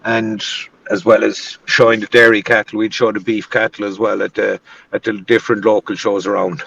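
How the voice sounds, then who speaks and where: Cork guy, gravelly and flat, kinda far from a dull mic, takes his time. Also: on the low side. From Cork City, Ireland. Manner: unhurried, pausing often mid-sentence. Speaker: auctioneer guest. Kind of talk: casual interview chat.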